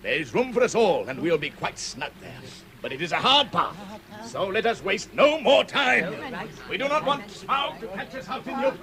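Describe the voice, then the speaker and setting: An actor playing a proud old dwarf leader in an audio drama, commanding and theatrical. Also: male voice, high-pitched, big pitch swings, moderate pace, a crowd in the background. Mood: brisk, commanding. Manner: theatrical, declarative.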